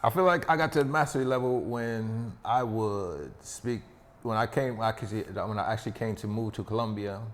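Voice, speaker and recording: A man appearing as a podcast guest, telling his stories with confident, boastful swagger. His voice is low, and it trails off into a long reverb tail. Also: moderate pace. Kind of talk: casual interview chat.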